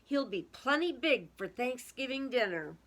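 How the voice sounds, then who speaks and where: Eager, bright-voiced storybook woman, played by a woman reader. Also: fairly high pitch, animated, a little slow. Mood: gleeful. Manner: exclamatory, savoring, emphatic. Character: eager, gleeful woman fattening a turkey for dinner.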